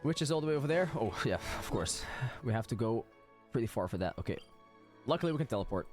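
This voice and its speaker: A man's voice: mid-range voice, lively intonation, quick pace, faint music behind. A man giving casual, reactive commentary as he plays through a game, often repeating short phrases. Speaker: game streamer.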